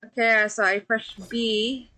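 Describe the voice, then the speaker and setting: A woman's voice: fairly high pitch, fairly even intonation, slow pace, bright, forceful tone. A woman streaming a video game, chatting casually with friends, laughing often and trailing off with fillers. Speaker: VTuber streamer. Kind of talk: casual gameplay commentary.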